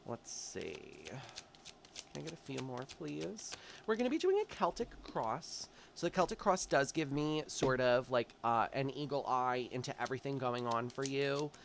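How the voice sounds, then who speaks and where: Male tarot reader, congested, chatty and direct with viewers, fry in his voice. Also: mid-range voice, very animated, moderate pace, a little hiss or hum. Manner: chatty, warm-hearted, talks straight to viewers. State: stuffy, congested from allergies. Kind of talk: casual monthly tarot forecast.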